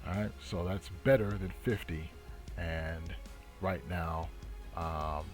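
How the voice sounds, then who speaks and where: A man with a fairly low voice gives unscripted market commentary as the host of his channel. He talks very slowly and deliberately, halting between phrases. Music plays faintly behind him. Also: steady tone.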